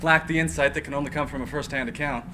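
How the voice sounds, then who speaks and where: A man's voice: a little high, fairly even intonation, fast, crowd noise, close to an everyday voice. A man reading the part of an earnest young admirer at a live script reading. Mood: eager. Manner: eager, earnest.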